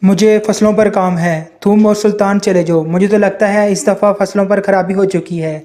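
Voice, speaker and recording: महिला द्वारा निभाया नीचे स्वर का व्यावहारिक किसान पति. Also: female voice, medium pitch, fairly even intonation, moderate pace, warm tone, pitched below the performer's own voice, natural voice. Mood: matter-of-fact. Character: practical village farmer husband. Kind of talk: Hindi moral story cartoon dialogue.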